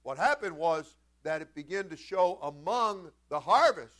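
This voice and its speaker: A man preaching a sermon as a pastor, folksy and informal, moving between conversational storytelling and emphatic, animated delivery. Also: fairly high pitch, measured pace. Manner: animated, swinging from quiet conversational asides to loud emphatic shouts. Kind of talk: Pentecostal-style sermon with storytelling.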